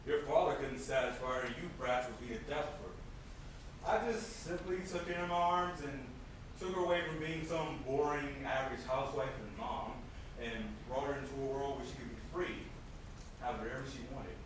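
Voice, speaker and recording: A man's voice: mid-range voice, moderate pace, lightly acted. A man acting in an amateur stage play as a smug, mocking killer obsessed with a lost lover, with a theatrical delivery. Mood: smug, bitter. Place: indoor stage performance, recorded from a distance. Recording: echoey, noisy room from far off.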